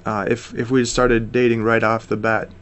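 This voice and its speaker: A man's voice: mid-range voice, flat delivery, normal speed, a bit bright, dull audio. An American man in his thirties, a missionary guest, talking earnestly and steadily in a casual interview, with frequent fillers. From United States.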